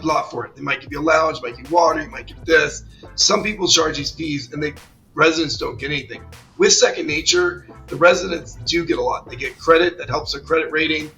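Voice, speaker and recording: A man's voice: on the high side, bright, forceful tone, low-quality recording. A man hosting a conference interview, a little under the weather, talking in an enthusiastic, rambling way with many restarts. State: slightly ill, voice a bit off. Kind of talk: casual interview chat.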